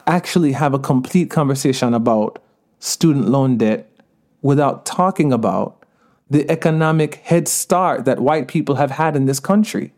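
A man with a Jamaican accent talking as a podcast guest, laughing often, slipping into patois and slowing down to stress key words. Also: mid-range voice, a little mellow. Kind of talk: casual podcast conversation.

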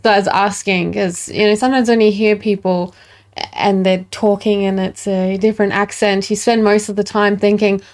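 A woman's voice: medium pitch, little pitch movement, normal speed, darkish tone. A woman chatting casually as the co-host of an interview show. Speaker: podcast co-host. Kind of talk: casual chat.

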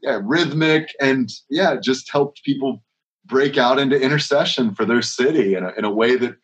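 A man's voice: medium pitch, normal speed, highs cut off. A man who leads worship, talking casually in an interview, rambling with frequent restarts and strings of rhetorical questions. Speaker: worship leader.

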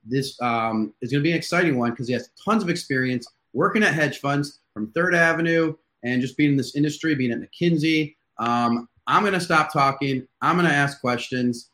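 A man's voice: a little high, normal speed, hardly any pauses, bright, forceful tone. A man hosting an interview in a casual style, asking his guest questions. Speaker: interview host. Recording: echoey, roomy sound.

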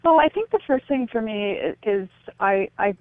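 A woman's voice: mid-range voice, expressive, moderate pace, dry recording. Earnest woman on a phone-like line. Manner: earnest, explanatory, often saying "you know". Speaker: interview guest. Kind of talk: radio interview, reflective explanation. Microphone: phone or call line into a radio show. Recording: thin phone-like line, dry.

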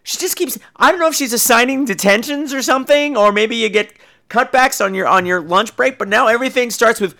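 A man's voice: extremely high pitch, brisk, creaky, pushed and bright. A man hosting a podcast, telling casual comic stories in a gossipy, catty, profane way with dramatic emphasis. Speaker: podcast host.

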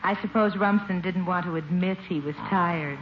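A woman's voice: lowish voice, moderate pace, roomy sound, lo-fi sound, close to an everyday voice. An actress playing a gracious, amused lady being courted, polite and genteel in 1950s radio comedy style. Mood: admiring, teasing. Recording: noisy old radio, studio audience behind.

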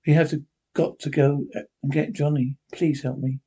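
A man's voice: fairly high pitch, level tone, normal speed, dark tone, slightly rough, highs cut off. A man voicing a terrified young woman who pleads and repeats herself frantically. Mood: pleading. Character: terrified young woman, grieving lost brother.

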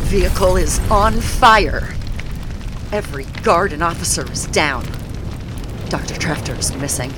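A woman playing a brisk TV field reporter. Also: low-pitched. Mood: alarmed. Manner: crisp, urgent reporting. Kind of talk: news report.

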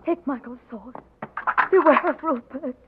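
A woman's voice: sits high, a little slow. An actress playing a frightened, desperate young noblewoman giving urgent warnings in a 1940s radio drama. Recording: muffled old radio broadcast with hiss.

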